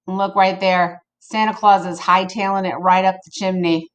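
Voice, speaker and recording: A woman reading a picture book aloud to children in an expressive, playful way, with casual asides to her listeners. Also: mid-range voice, moderate pace. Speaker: storybook reader for children.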